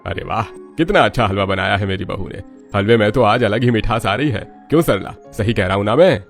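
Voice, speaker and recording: A man voicing a gentle, jovial older father-in-law in a Hindi cartoon story, fatherly and reassuring. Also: fairly low pitch, a little fast, soft background music, close to an everyday voice. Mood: delighted.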